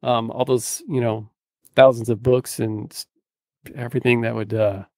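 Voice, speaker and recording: A man's voice: mid-range voice, level tone, moderate pace, a little mellow, dry recording. A man co-hosting a podcast in casual chat, searching for words as he goes, on a close microphone. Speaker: podcast co-host. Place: cluttered room at home, video call. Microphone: close microphone on boom arm.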